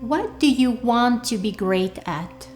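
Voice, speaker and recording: A woman leading a guided meditation in a soothing, deliberate way, leaving pauses between short phrases. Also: a little high, expressive. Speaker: meditation guide, workshop leader. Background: soft background music.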